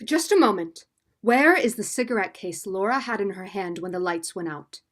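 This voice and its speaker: A woman's voice: mid-range voice, animated, moderate pace, fairly bright tone. A student actress playing an anxious, doubtful married woman with earnest melodrama in a vintage radio mystery. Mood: worried, insistent. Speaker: student actor.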